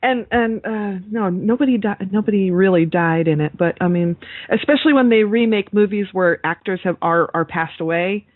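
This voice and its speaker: A woman's voice: mid-range voice, moderate pace, few pauses, dry recording. Emphatic woman podcaster on a phone-like line. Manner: emphatic, exclamatory, swears casually. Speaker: podcast co-host. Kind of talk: casual horror movie chat. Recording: narrow phone-like call audio, dry.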